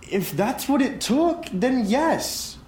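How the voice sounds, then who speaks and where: A man's voice: very high voice, expressive, slow pace, a little mellow, exaggerated voice. A man playing a devoted, hot-tempered young superhero boyfriend in an audio drama, speaking with pleading intensity. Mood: pleading, intense. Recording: roomy echo, faint background noise.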